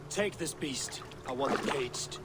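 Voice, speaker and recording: A man's voice: medium pitch, a little slow, natural voice. A man voicing a stern brooding god-king. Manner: grave, commanding, suspicious. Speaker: game character. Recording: game audio with steady noise.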